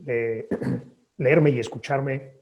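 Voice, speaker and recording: A man, a poet and native Spanish speaker, speaking thoughtfully at a book presentation with measured hesitations. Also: medium pitch, steady tone, dull audio. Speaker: poet and author. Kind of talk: reflective talk at a book presentation.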